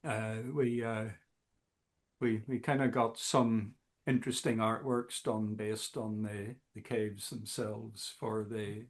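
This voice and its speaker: A man talking as a guest musician in a casual interview, halting often with fillers. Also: fairly low pitch, flat delivery. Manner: slow, halting, many fillers.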